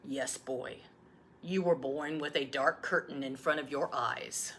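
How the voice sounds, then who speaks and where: A woman voicing a gentle old grandfather who tells a tale slowly, gravely and softly in a storybook read-aloud. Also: rumbling low, a little hiss or hum, pitched below the performer's own voice, full character voice. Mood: reassuring. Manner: slow, grave, hushed storytelling.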